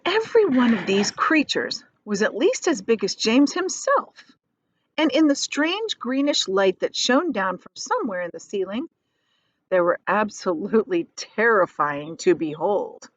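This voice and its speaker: Animated woman reading a storybook aloud. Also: mid-range voice, no room sound, lo-fi sound. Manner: animated, pausing to explain simply. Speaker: teacher reading aloud to children. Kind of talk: expressive storybook read-aloud with casual explanations.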